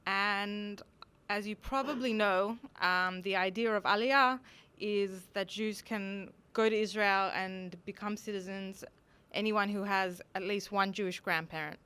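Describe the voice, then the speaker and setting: An Australian woman gives a conference talk, laying out her points in a steady, explanatory manner. Her voice is bright and crisp, and it sounds smooth throughout. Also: medium pitch, a little slow. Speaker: conference speaker.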